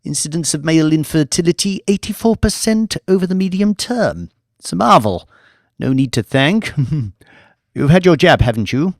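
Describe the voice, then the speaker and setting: A man's voice: fairly high pitch, moderate pace, dry recording, voice pushed up. Audiobook villain male narrator acting: gleeful, eccentric scientist, raised voice, fussy rambling chatter, very dry sound. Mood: gleeful, gloating. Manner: excitable, chatty, gloating. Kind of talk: audiobook character voice.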